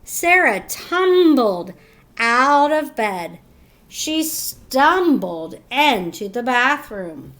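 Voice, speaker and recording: A woman teacher reading a picture book to young children, expressive and instructive, pausing to ask questions. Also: on the high side. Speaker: teacher reading aloud to pupils.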